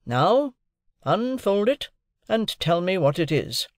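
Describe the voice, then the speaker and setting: A man performs a domineering, middle-aged lawyer in an audiobook. He is brusque and emphatic, as if questioning a witness. The pitch is high and swings widely in a dry, close recording. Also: big pitch swings, dry recording, full character voice. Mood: triumphant, overbearing. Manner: cross-examining, emphatic, deliberate. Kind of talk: audiobook character dialogue.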